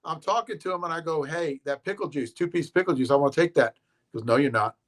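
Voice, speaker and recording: A man co-hosting a casual live-stream chat, telling stories in an animated way and acting out what others said. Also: fairly high pitch, fast talker. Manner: animated storyteller who acts out quotes.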